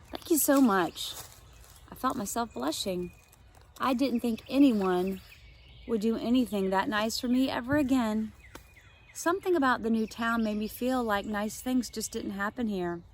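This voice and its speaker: A woman teacher reads a children's novel aloud in an expressive, storytelling manner. Her voice sits at an ordinary middle pitch, and she breaks off now and then for easy asides to her listeners. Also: slight background noise.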